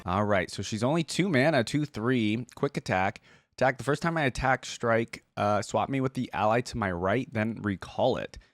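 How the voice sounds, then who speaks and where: A man's voice: mid-range voice, moderate pace, no room sound, faint music behind. A man giving casual, chatty reaction commentary as the host of a video. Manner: informal, chatty. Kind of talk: casual reaction commentary and story reading aloud.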